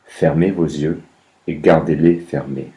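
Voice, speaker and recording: Very deep, slow male meditation guide. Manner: calm, measured, with long pauses. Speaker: yoga nidra meditation guide.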